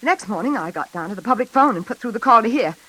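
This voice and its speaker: An actress playing a frightened, high-strung woman in an old-time radio suspense drama, speaking in an anxious, halting, pleading way. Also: lively intonation, a bit bright, rough recording. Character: frightened, high-strung woman haunted by a convict's revenge threat.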